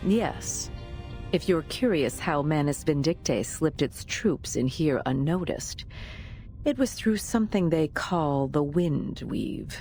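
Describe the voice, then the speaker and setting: Composed lady boss in a story game, low voice, formal n measured, music underneath. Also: expressive, warm tone, full character voice. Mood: solemn, measured. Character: composed, authoritative senior official, a woman in command. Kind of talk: dramatic video game voice acting. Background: background music.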